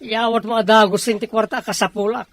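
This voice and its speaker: A woman's voice: a little high, fairly even intonation, fairly quick, no room sound. A woman playing a pushy, spendthrift younger sibling in a radio drama, talking in an insistent manner. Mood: demanding. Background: background music.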